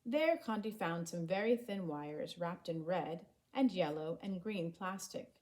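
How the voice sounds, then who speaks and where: A woman reading a children's story aloud in an expressive, animated way, acting out the dialogue. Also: on the low side, measured pace. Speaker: storybook reader.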